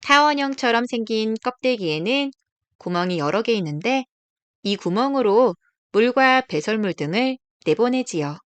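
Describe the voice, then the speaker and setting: A woman reading a children's nature picture book aloud, explaining gently to young listeners. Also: on the high side, animated, normal speed, clear, resonant tone, dry recording.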